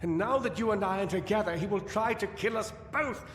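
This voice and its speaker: Fantasy game gnome scientist, male actor: slightly high voice, weary and paranoid, theatrical anguish, cavernous reverb. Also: slight background noise, natural voice. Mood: fearful realisation. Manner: theatrical, anguished, halting and musing. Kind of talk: dramatic fantasy video game voice acting. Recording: echoey cavern-like reverb over music.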